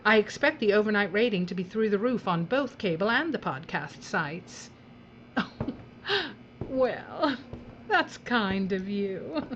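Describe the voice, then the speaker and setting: A woman's voice: medium pitch, lively intonation, slow, highs cut off, a little hiss or hum. A woman playing a breezy, callous female television boss in an audio drama, theatrical and drawling, with condescending endearments. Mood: flattering, chummy.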